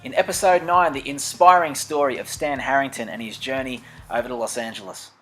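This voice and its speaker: A man's voice: mid-range voice, steady tone, brisk, bright, forceful tone, faint music behind. A man hosting a talk show, recapping a season of interviews in an upbeat, announcer-like way. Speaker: host.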